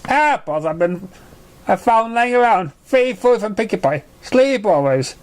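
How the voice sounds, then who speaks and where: A man's voice: sits high, animated, unhurried, voice pushed up. A man voicing an anxious, apologetic young girl pony in a story reading. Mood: confessing, sheepish.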